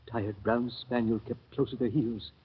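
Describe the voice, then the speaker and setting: A man's voice: medium pitch, steady tone, moderate pace, slightly rough, close to an everyday voice. An actor playing a nervous young male visitor who also narrates, in 1930s radio acting style. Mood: tense narration. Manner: stammering, flustered. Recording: muffled old radio recording.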